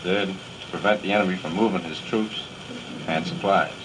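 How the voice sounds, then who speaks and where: Deep-voiced young American soldier, noisy 1940s record. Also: male voice, normal speed. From Massachusetts, United States. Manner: stiff, respectful, rehearsed-sounding. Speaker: soldier being interviewed. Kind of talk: 1940s radio interview answers. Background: record surface noise.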